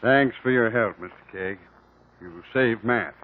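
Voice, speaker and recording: A man's voice: lowish voice, a little slow, close to an everyday voice. An actor playing an easygoing, folksy lawman with a rural American accent in a radio drama. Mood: grateful, conceding. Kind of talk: 1950s radio drama acting. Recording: muffled 1950 radio recording.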